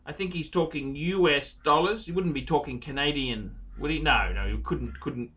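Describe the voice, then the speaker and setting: A man's voice: on the high side, moderate pace, bright, forceful tone. A middle-aged man hosting a watch channel, talking casually to camera and repeating words emphatically for effect. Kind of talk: casual to-camera review, reading a viewer's letter.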